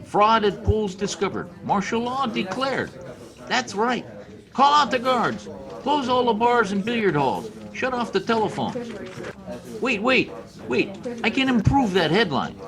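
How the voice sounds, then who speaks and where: A man plays a high-voiced, excitable regime official in an old-time radio comedy. His delivery swoops widely as he issues emphatic commands, with a crowd behind him. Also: measured pace, exaggerated voice. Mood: frantic, commanding. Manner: barking orders, emphatic.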